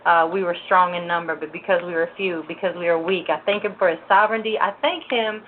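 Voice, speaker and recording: A woman's voice: on the low side, fast talker. Woman hosting over a tinny line. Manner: warm greetings, prayerful thanksgiving, loose with fillers. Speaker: host. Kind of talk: religious women's talk show. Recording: noisy, tinny phone-line audio.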